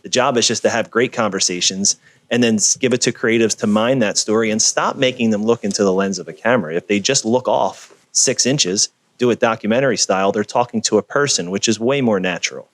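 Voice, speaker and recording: A man being interviewed over a video call, talking in an energetic, emphatic, storytelling way. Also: lowish voice, fairly quick. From Philadelphia area. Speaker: guest, video producer.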